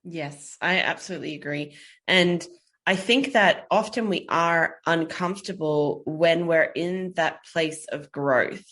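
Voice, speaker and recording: A woman's voice: deep voice, a little slow, fairly bright tone. A woman speaking as a guest on a podcast in casual chat. She talks thoughtfully and earnestly, explaining her views at length.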